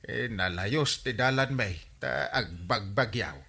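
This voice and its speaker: An actor playing a comic, stammering village captain with an Ilocano accent in a radio comedy drama. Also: male voice, mid-range voice, takes it slowly, gravelly, sharp tone, husky, full character voice. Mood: defensive. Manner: stammering, sputtering with repeated interjections. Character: comic excitable village captain, husband who likes gallivanting.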